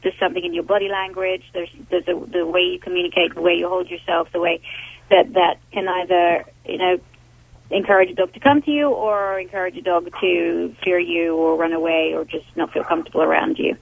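A woman's voice: fairly low pitch, steady tone, fairly quick, gravelly. A woman dog trainer giving advice as a guest on a call-in radio show, explaining with plenty of fillers and restarts. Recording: tinny phone line, dry.

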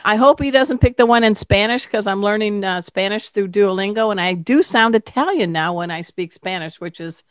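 A woman's voice: mid-range voice, normal speed, few pauses, clear, resonant tone, no echo. A woman hosting a pet radio show in bubbly, playful casual chat, laughing often.

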